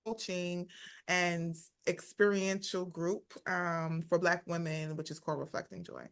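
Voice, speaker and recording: A woman's voice: lowish voice, flat delivery, a little slow. A woman in her forties, originally from Guyana and raised in New York City, chatting as a therapist guest on a video call and thinking aloud about dates. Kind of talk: casual interview chat.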